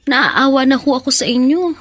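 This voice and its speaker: An actress playing a shy, dutiful nineteen-year-old with a Filipino accent, polite and earnest, in a radio drama. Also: female voice, sits high, unhurried, a bit bright. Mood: pleading, sorrowful.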